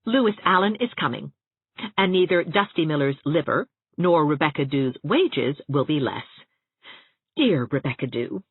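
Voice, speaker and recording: Expressive low-voiced woman narrator, phone-like sound. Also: normal speed, husky, dry recording. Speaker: audiobook narrator. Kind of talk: expressive scripted story narration. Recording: narrow, phone-like audiobook sound.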